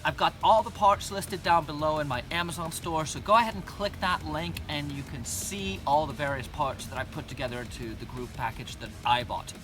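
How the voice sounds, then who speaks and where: Higher-pitched American man presenting gear. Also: fairly quick, hardly any pauses, far from the mic, music in the background. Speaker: presenter of an off-road gear channel. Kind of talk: scripted gear review narration.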